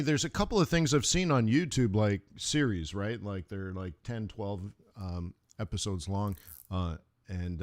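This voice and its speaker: A middle-aged man hosting a live trading stream, talking casually in rambling asides and laughing often. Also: fairly low pitch. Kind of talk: casual rambling livestream talk.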